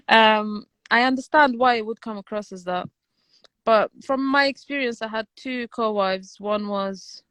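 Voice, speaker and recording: A woman whose first language is Romanian speaks in a soft, hesitant voice, often restarting her sentences. Her pitch sits in the middle for a woman. The sound is dry and close, with no room around her. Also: dry recording. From Algeria. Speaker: guest on a live stream. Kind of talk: casual livestream chat.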